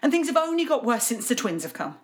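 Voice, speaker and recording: A fairly high, very animated voice. A woman plays a weary mother in an audio drama, exasperated and dismissive. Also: fast talker.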